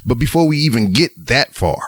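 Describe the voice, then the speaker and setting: A man hosting a podcast in a relaxed, joking way, swearing casually as he talks. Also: mid-range voice, fairly quick, no echo. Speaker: podcast host.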